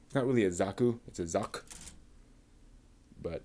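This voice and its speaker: A man talking himself through assembling a model kit, muttering and pausing between steps. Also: lowish voice, dark tone. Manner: slow, halting, with many pauses. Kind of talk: casual build narration.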